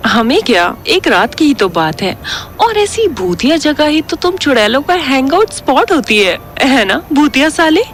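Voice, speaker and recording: A woman's voice: sits high, big pitch swings, moderate pace, hardly any pauses, slight background noise. A woman playing a haughty, fussy young traveller in an audio horror drama. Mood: dismissive.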